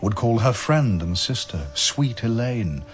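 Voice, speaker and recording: A man narrating verse as a dramatic storyteller, in a measured, solemn, literary manner. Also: medium pitch, lively intonation, soft background music.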